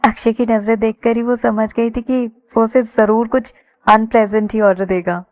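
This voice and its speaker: A woman's voice: fairly high pitch, level tone, moderate pace. A woman narrating a romance story in a conversational storytelling style. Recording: dull lo-fi sound.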